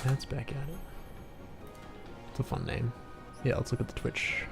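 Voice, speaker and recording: A man's voice: medium pitch, steady tone, normal speed, creaky. A man hosting a casual livestream as he draws, rambling to viewers and muttering asides to himself. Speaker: livestream host, game developer and artist. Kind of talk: casual livestream chat while drawing. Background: background music.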